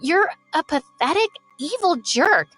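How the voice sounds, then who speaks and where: A woman's voice: very high-pitched, big pitch swings, a little slow, a bit bright, faint music behind, voice pushed up, exaggerated voice. A woman voicing a spiteful teenage girl who taunts and sneers at a former friend. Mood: cruel.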